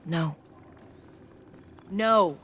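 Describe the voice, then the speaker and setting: A woman's voice: lowish voice, drawn-out words, a bit bright, husky, exaggerated voice. A woman playing an earnest, determined scientist with regrets, in naturalistic, sincere video game dialogue. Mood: defiant, hurt.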